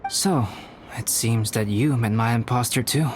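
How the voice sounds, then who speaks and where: A man playing a calm, scholarly, youthful alchemist in a video game, speaking formally and precisely with detached reserve. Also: mid-range voice, measured pace, slight background noise, natural voice. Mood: pensive, guarded. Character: calm, scholarly young-looking male alchemist, reserved and aloof. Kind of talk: video game character acting.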